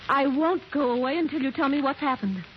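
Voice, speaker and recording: Brief: a pressing, anxious young woman, high-pitched, muffled vintage radio sound. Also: expressive, fairly quick. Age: 20s. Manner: urgent, insistent. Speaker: character in a vintage radio drama. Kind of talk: 1940s radio acting. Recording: muffled, faintly hissy vintage radio recording.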